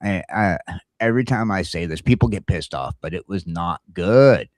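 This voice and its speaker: Blunt, deep-voiced man on a rant. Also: animated, moderate pace, darkish tone, no echo, studio quality. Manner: blunt, ranting, swearing, repeats himself. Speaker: talk show host. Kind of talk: casual opinionated chat about geek culture.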